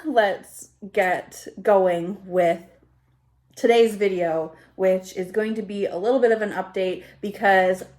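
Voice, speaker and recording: A woman's voice: medium pitch, steady tone, slow pace, darkish tone. A thirty-year-old woman vlogger chatting casually in her home kitchen, slightly congested, rambling with self-deprecating asides. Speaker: YouTube vlogger. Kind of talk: casual rambling chat to camera.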